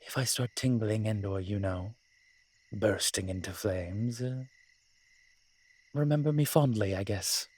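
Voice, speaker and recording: A man playing a wry, flirtatious immortal incubus demon in a roleplay audio drama, with a husky voice and a dry, teasing, conversational manner. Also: fairly low pitch, a little slow, long pauses, a little mellow. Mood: uneasy, wry.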